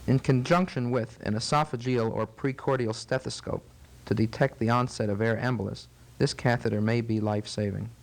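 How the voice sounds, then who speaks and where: A man's voice: medium pitch, steady tone, brisk, creaky. Man giving formal, precise medical explanations. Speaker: physician interviewed as expert. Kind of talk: measured medical teaching interview.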